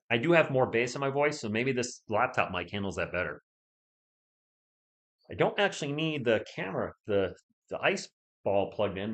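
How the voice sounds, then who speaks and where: A chatty man hosting a live stream from his home setup, talking straight into a laptop mic. His pitch bounces around a lot, and he bursts into loud shouts now and then. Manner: chatty, talks to chat, loud bursts when testing. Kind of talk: casual live-stream chat. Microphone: laptop or desk mic.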